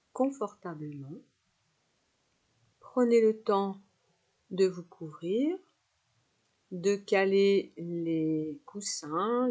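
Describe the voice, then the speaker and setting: A woman guides a relaxation meditation at a very slow pace, leaving pauses between her instructions. Her voice is mellow and husky, with a soothing, unhurried delivery suited to a yoga nidra class. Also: fairly low pitch.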